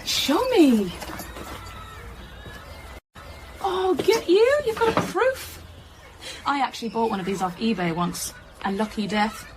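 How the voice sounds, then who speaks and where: Teasing, blunt childminder. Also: female voice, on the high side, lively intonation, unhurried, noisy background. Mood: curious, amused. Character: brisk, teasing, bookish woman childminder, warm-hearted and blunt. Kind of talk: naturalistic radio drama dialogue.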